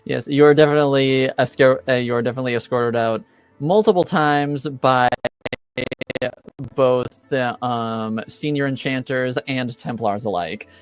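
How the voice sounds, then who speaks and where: A man narrates a tabletop game as game master. His voice is mid-pitched and his delivery easygoing and halting. Quiet music plays underneath. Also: clear, resonant tone.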